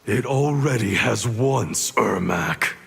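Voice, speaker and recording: A man's voice: lowish voice, lively intonation, slow, vocal fry, exaggerated voice. A man playing a cold, resolute warrior clan leader in a fighting game, stern and challenging in delivery. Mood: cold, defiant. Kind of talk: fighting game dialogue acting. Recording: very noisy game sound behind.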